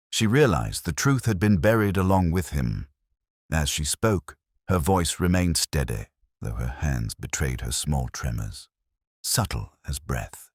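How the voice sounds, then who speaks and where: Very deep-voiced man narrating solemnly. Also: dry recording, studio quality. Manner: measured, literary, solemn. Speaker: narrator of a detective story. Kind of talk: scripted first-person audio drama narration.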